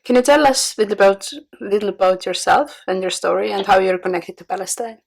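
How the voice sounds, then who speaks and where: A woman's voice: medium pitch, a little fast, creaky. Woman, podcast host, mid-range voice, interview questions.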